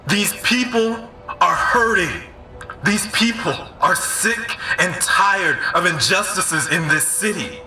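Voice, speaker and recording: A man's voice: sits high, animated, takes it slowly, heavily acted. An actor playing an earnest, principled man making an emphatic speech for justice in an audio drama. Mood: impassioned. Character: John Mark, impassioned, principled male street orator calling for justice. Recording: echoey, distant, with music behind.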